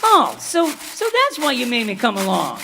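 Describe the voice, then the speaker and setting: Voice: mid-range voice, huge pitch swings, moderate pace, creaky, in a large hall, background noise. An actor playing a plucky, earnest young frontier son in a 1930s radio western, with folksy, exclamatory talk. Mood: earnest, anxious.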